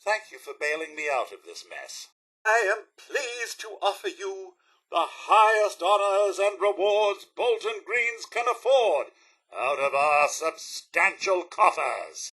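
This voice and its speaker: High, fussy British robot butler. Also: male voice, big pitch swings, takes it slowly, pushed and bright, slightly rough, full character voice. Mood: proud, obliging. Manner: prim, formal, florid servant's diction. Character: fussy, polite robot butler, eager and easily dismayed. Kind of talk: theatrical video game character acting.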